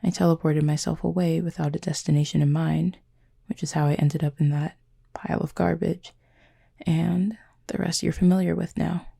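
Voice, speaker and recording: A woman playing a gentle, formal, wounded cupid in an intimate audio roleplay, speaking softly in measured, breathy phrases. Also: deep voice, steady tone, exaggerated voice. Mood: grave, measured. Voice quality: husky, breathy, creaky. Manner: measured, formal, soft-spoken with breathy pauses.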